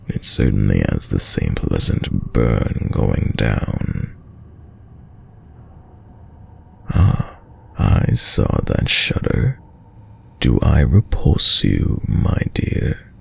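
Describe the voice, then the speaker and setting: A man playing a suave, predatory, dominant vampire lord in an intimate audio roleplay, with a very deep, gravelly voice and a courtly, seductive, menacing manner that lingers over words. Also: big pitch swings, slow, heavily acted. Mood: menacing, sly.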